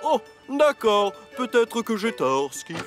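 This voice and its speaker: A man's voice: high voice, big pitch swings, slow, loud music behind the voice, full character voice. A man voicing a skeptical, matter-of-fact talking railway engine in a French cartoon, with a doubting, hesitant manner. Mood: doubtful.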